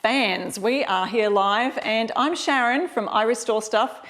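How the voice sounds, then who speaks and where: A woman's voice: fairly high pitch, unhurried, fairly bright tone. A woman with an Australian accent giving a casual live craft tutorial, chatting informally and directly to her viewers. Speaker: host of a live craft demonstration. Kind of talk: casual livestream tutorial chat.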